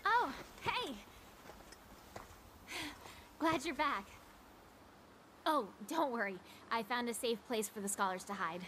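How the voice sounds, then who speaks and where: An actress playing a brisk, reassuring young field leader in a video game, talking in a casual, friendly way. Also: female voice, fairly high pitch, lively intonation, bright, forceful tone, a little hiss or hum, exaggerated voice. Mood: upbeat, reassuring. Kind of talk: scripted video game cutscene dialogue.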